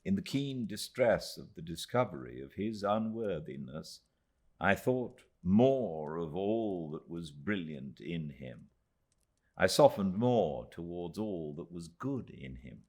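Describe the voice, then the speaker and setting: A British man reading a literary audiobook in measured, dramatic phrases with deliberate pauses. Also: low-pitched, sing-song, a little mellow, husky. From Britain. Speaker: audiobook narrator.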